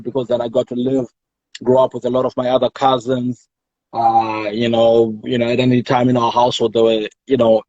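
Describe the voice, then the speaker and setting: A man from Zimbabwe, a poet guest on an interview show, speaking reflectively and often pausing to search for the right words. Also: medium pitch, a little mellow, no echo, lo-fi sound. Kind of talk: casual interview talk.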